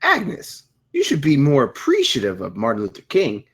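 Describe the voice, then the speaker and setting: Woman as a husky, dim slacker dude. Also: a bass voice, wildly swooping pitch, full character voice. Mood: earnest, clueless. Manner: slow-witted, earnest, slangy. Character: dim, laid-back slacker dude pool cleaner. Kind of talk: scripted table read of a comedy screenplay.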